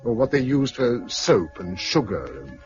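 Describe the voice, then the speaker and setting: An actor with a British accent playing a steady, practical, wry leader of survivors in a 1950s radio drama. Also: male voice, medium pitch, moderate pace, faint music behind, close to an everyday voice. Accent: British English. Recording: muffled, noisy 1950s radio recording.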